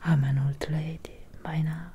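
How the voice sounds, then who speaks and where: A woman playing a centuries-old vampire doctor in a soft-spoken ASMR roleplay, speaking in a solemn, measured, breathy whisper. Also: low-pitched, little pitch movement, slight background noise. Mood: gentle, wistful. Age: young adult. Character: centuries-old female vampire doctor, grave, gently caring.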